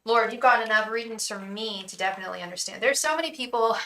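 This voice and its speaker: A young woman gives a live tarot reading in a chatty, reassuring tone. Her voice is bright and clear, with a calming quality. She often restarts her sentences, and the room around her has a noticeable echo. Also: fairly high pitch, fast talker. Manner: addresses viewers fondly as "love". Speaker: tarot reader and psychic.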